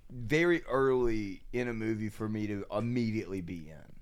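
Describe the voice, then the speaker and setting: A man's voice: on the low side, normal speed, smooth. Rambling, jokey man on a podcast. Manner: rambling, filler-heavy, jokey. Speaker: podcast guest. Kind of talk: casual podcast chat.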